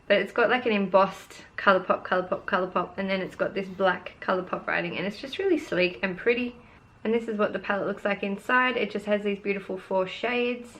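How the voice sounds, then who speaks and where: A young woman talks chattily to camera, showing off makeup she loves. Her voice is worn and hoarse, with a lot of gravelly fry at the ends of phrases. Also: mid-range voice, a bit bright. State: losing her voice. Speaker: beauty vlogger. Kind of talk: casual product review vlog.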